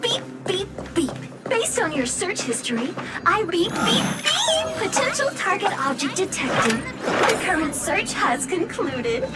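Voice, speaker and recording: An extremely high, bouncy little appliance robot from a video game. It is officious and chirpy, swinging widely in pitch as it recites corporate notices between spoken beeps. Loud game music plays behind it. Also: female voice, wildly swooping pitch, measured pace, full character voice. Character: chirpy beeping robotic lost-item finder appliance, officious.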